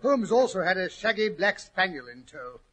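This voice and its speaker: An actor playing Doctor Watson, a hearty, puzzled, exclamatory English gentleman, in 1940s radio drama style. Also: sits high, big pitch swings, moderate pace, breathy edge, full character voice. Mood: genial narrating. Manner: blustery, exclamatory. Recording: old 1940s radio recording.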